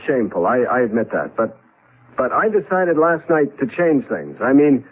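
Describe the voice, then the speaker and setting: A man's voice: mid-range voice, big pitch swings, moderate pace, husky. An actor playing a nervous, evasive crooked businessman in 1970s radio drama, hesitant and stammering. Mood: contrite, evasive. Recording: muffled vintage radio broadcast.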